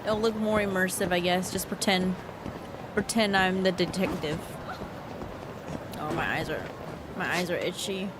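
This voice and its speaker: A chatty woman streamer comments casually on her game, her voice stuffy and congested. Her voice sits a little low. A steady noise hums behind her. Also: normal speed, long pauses. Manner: chatty, joking. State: congested from allergies. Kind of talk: casual live gameplay commentary.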